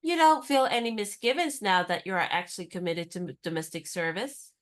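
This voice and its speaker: An actress in a radio play playing a spirited young woman disguised as a lady's maid, talking in a brisk, teasing, knowing way. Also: mid-range voice, natural voice.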